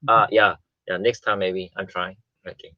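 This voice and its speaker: A man chatting casually as a radio panelist, with halting, fragmented phrasing. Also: low voice, steady tone, no room sound, dull audio. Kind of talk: casual studio chat. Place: radio studio.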